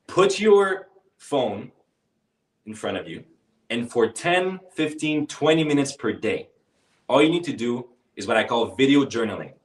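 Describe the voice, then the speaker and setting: A man's voice: fairly low pitch, roomy sound, far from the mic. A man hosting a live speaking workshop who calls everyone brother, speaking English as a non-native. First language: not English. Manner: calls participants brother and bro, upbeat coaching. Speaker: workshop host and speaking coach. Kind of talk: live online workshop, casual and encouraging.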